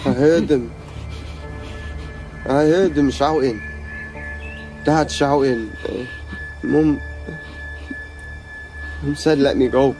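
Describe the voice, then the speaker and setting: A hurt, angry teenage son in a radio drama. His voice is mid-pitched, and he speaks haltingly, repeating himself with raw feeling. Music sits faintly behind him. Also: mellow, poor audio. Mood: haunted, guilty. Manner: halting, repetitive, emotionally raw. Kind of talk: naturalistic radio drama acting.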